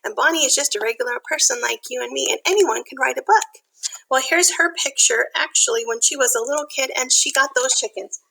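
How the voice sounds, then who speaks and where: A woman reading a picture book aloud to young children, expressive, with simple explanatory asides. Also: sits high, fairly quick, gravelly, slight background noise, rough recording.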